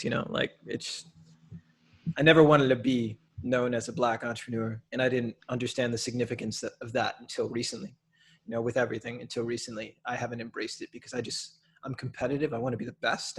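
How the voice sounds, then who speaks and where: A young man from Minneapolis talking as a podcast guest, casual and animated, blunt, with slang. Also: mid-range voice, fast, slight background noise. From Minneapolis, USA. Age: young adult. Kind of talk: casual conversation.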